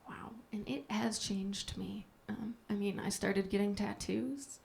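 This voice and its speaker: A woman from Minnesota doing a stand-up set, soft-spoken and deadpan with self-deprecating jokes. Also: mid-range voice, measured pace, vocal fry. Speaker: stand-up comedian. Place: comedy club stage before a live audience. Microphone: handheld stage mic.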